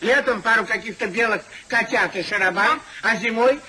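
High-voiced, fussy elderly husband. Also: male voice, sharp tone. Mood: indignant, scolding. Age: older. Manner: indignant, emphatic, drawn-out exclamations. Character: fussy, exasperated elderly husband, English gentleman. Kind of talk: 1950s theatrical radio comedy acting. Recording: 1950s radio drama recording.

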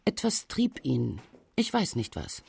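A woman reading the German voice-over of an elderly French woman in a radio documentary, reflective and tender, with a husky, creaky voice. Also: rumbling low, wildly swooping pitch, takes it slowly, darkish tone, exaggerated voice.

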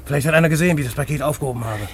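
Actor as wary petty crook, gravelly voice, urgent, bossy, radio drama, faint noise behind. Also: male voice, on the high side, very fast, natural voice. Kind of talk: postwar German radio play acting.

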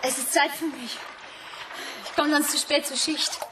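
Coy, high-voiced playful woman. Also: fairly even intonation, measured pace, noisy background. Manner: coy, coaxing. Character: coy, playful female station worker. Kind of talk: 1980s German radio play acting.

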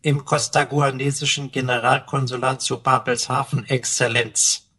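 A man's voice: mid-range voice, flat delivery, normal speed, bright, forceful tone, slightly rough. A man playing a cheeky, pedantic talking computer sidekick in a radio play, with flowery, mock-pompous speech. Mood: mock-obedient, pompous.

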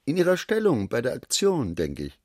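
Husky, boastful businessman. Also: mid-range voice, wildly swooping pitch, moderate pace, dry recording, clean studio sound. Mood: probing, complaining. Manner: chatty, philosophizing, boastful. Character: garrulous middle-aged businessman, newly prosperous and self-satisfied. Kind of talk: radio drama dialogue.

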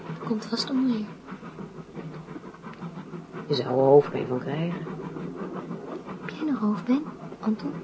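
Young schoolgirl, radio drama, very varied pitch, plain-spoken, noisy surroundings. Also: sing-song, slow, long pauses. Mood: solicitous.

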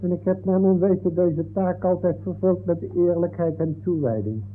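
An actor playing an earnest, aggrieved football referee, formal and deferential, in a 1960s comic radio play. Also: male voice, high voice, moderate pace, close to an everyday voice. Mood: aggrieved, dignified. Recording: noisy narrow-band old radio recording.

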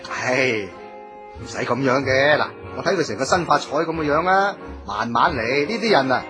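A man's voice: mid-range voice, lively intonation, normal speed, music in the background, lightly acted. A man acting an easygoing, reassuring friend and confidant in a radio drama.

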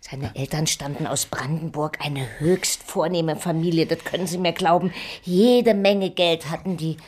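A woman's voice: deep voice, moderate pace, a little mellow, slight background noise, close to an everyday voice. A woman in a radio drama playing a chatty, motherly, easily indignant middle-aged landlady, gossiping effusively and theatrically quoting people. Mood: fond, proud.

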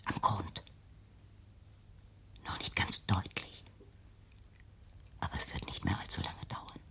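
An actress in a radio play playing an anxious, controlling mother, hushed and insinuating, with a husky, breathy voice. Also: slow, whispered. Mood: eerie, conspiratorial.

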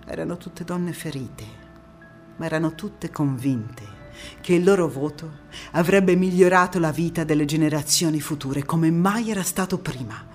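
An actress playing a passionate, resolute woman activist in an emphatic theatrical monologue with dramatic pauses. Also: low voice, soft background music, lightly acted. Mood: moved, solemn. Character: passionate, resolute woman activist recounting postwar struggle for women's vote.

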